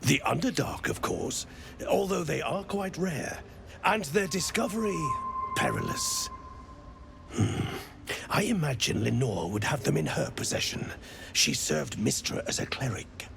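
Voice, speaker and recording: Measured scholarly mind flayer. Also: male voice, on the high side, very animated, vocal fry, fairly bright tone, faint music behind, exaggerated voice. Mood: reminiscing. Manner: precise, measured, learned. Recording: echoey reverberant room.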